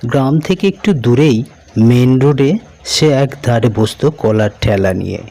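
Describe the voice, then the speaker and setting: A man's voice: medium pitch, lively intonation, a little slow, mellow. A man narrating a scripted cartoon story as its storyteller.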